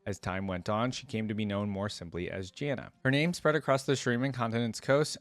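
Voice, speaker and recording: A man's voice: lowish voice, dry recording. A man hosting a reaction video, moving between casual, curious commentary and reading lore aloud in a conversational way.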